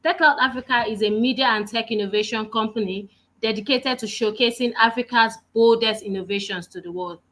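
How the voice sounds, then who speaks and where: A woman moderating an online webinar, reading out a speaker's introduction in a measured, announcing way. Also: on the high side, bright, forceful tone.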